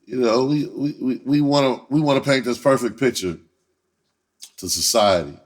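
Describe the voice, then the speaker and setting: A man's voice: medium pitch, normal speed. An American man, a comedian and rapper, giving his candid opinion in a casual, blunt, profane monologue full of slang.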